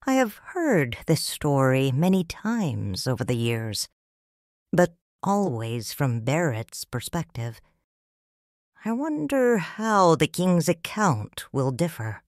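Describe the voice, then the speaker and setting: A woman narrating in first person as a grieving widowed noble mother in a fan audio drama, quiet and restrained. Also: deep voice, sing-song, no echo, studio quality, exaggerated voice. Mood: sorrowful. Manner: slow, hushed storytelling.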